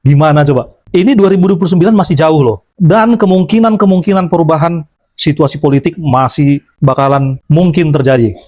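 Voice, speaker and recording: Fast-talking, slightly high male commentator, dry close sound. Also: darkish tone, dry recording. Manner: brisk, rhetorical, poses questions. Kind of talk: political commentary.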